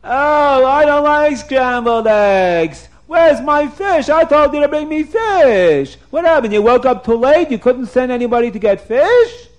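A man voicing a demanding, hot-tempered storybook king with whiny, repetitive, shouted demands. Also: high-pitched, animated, moderate pace, muffled recording, voice pushed up. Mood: petulant, indignant.

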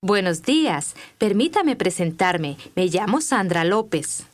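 A woman reading scripted language-course dialogue with clear, deliberate enunciation. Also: mid-range voice, big pitch swings.

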